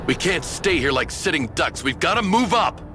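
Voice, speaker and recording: A man's voice: a little high, big pitch swings, moderate pace, over music. A man playing a brash, confident military commander giving orders in a strategy game, with a cocky, commanding manner.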